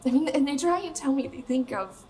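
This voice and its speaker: An American woman playing a bitter, wounded pop star of twenty-seven in a naturalistic radio play, speaking in a halting, emotional, sharp-tongued way. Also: high voice, lively intonation, slight background noise. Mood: exasperated. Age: young adult.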